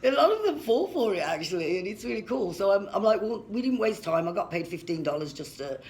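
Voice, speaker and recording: A woman's voice: mid-range voice, sing-song, a little fast, darkish tone, slight background noise. A woman guest on a talk show, chatting casually about herself and laughing between lines. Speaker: guest, entrepreneur and YouTuber. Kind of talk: casual interview chat.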